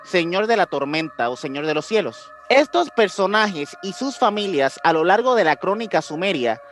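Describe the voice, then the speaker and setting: A man's voice: high-pitched, very animated, normal speed, fairly bright tone. A man with a Puerto Rican accent hosting a podcast, explaining things informally with slang and repeated phrases. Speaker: podcast host. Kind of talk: casual podcast talk with explanations. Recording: music playing behind the voice. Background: music.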